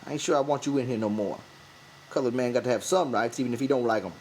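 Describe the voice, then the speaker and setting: A man's voice: medium pitch, quick pace, a little mellow, slight background noise, pitched above the performer's own voice, natural voice. A man voicing a withdrawn, proud, bitter stable hand in an audiobook, with defensive, rural vernacular speech. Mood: wary. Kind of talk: audiobook character voice.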